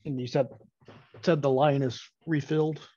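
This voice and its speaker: A man's voice: a little high, fairly even intonation, moderate pace, dark tone, dry recording. A man playing a tabletop game, chatting casually as a player, on a dull, muffled recording.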